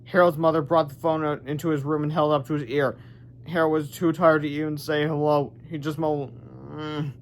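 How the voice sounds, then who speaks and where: A man reads a children's book aloud. His voice sits a bit higher than most men's as he narrates the story. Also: level tone, brisk, slight background noise. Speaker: storybook reader.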